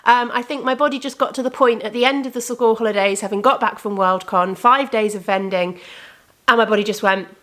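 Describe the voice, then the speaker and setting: A woman's voice: on the high side, a little fast, a bit bright. A young adult woman hosting her crafting podcast in casual chat, rambling and correcting herself, and slightly congested from a cold. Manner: chatty and rambling, with frequent self-corrections. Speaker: podcast host and indie yarn dyer. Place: room with bookcases of yarn behind her.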